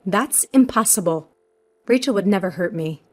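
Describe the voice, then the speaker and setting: A woman's voice: medium pitch, expressive, moderate pace, natural voice. A woman voicing a devoted, protective young husband in a story, with an earnest, plain-spoken manner. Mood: calm loyalty. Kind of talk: dramatized storytelling.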